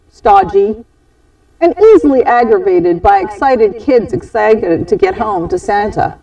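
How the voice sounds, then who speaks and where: A woman reading a scripted first-person story in an expressive, measured storytelling manner with dramatic pauses. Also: fairly high pitch. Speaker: storyteller at a church holiday program. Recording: dull, distant microphone.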